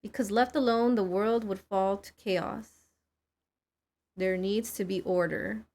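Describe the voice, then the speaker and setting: A woman voicing a brooding, disillusioned young man from a game, in grave, measured, formal phrasing. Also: mid-range voice, fairly even intonation, darkish tone. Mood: grave, bitter. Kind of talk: reading game cutscene dialogue aloud.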